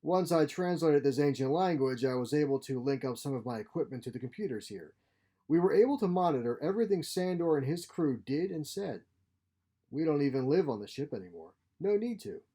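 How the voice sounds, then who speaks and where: A man's voice: a little high, animated, fairly quick, mellow, breathy edge. Man voicing a courteous, proud grandfatherly scientist. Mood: proud, teasing. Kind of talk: storybook character voice.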